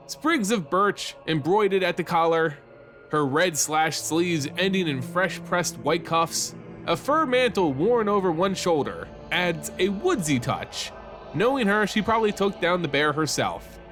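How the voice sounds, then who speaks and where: A man reading scripted fantasy narration as a host and storyteller, with a measured, dramatic delivery. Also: high voice, lively intonation. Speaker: host and story narrator of a fan lore channel. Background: music under the voice.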